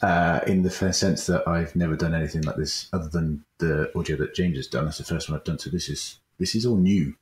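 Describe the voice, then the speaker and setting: A man's voice: a bass voice, fairly even intonation, brisk, few pauses. A man, an amateur voice actor, chatting casually in a modest, tentative way. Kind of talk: casual behind-the-scenes chat.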